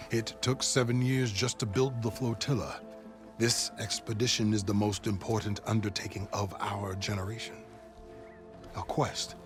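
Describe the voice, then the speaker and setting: An actor playing a formal, reverent overseer and advisor to a ruler in a video game, speaking ceremoniously. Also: male voice, lowish voice, lightly acted. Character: solemn, devout male overseer and deferential advisor to ruler. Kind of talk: dramatic video game acting.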